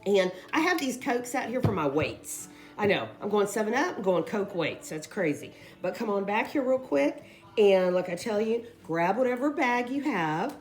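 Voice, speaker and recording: A woman who is losing her voice, hoarsely chatting her way through a casual craft tutorial in a rambling, informal way. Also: medium pitch, very animated, moderate pace, faint music behind. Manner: rambling, informal, addresses viewers as guys. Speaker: craft video host.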